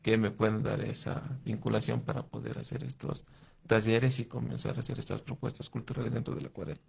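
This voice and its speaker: A man's voice: mid-range voice, flat delivery, fairly quick, gravelly, darkish tone, husky. A man with an Ecuadorian accent answering interview questions conversationally, explaining things and often restarting his sentences. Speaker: interview guest, watercolor artist and youth coordinator.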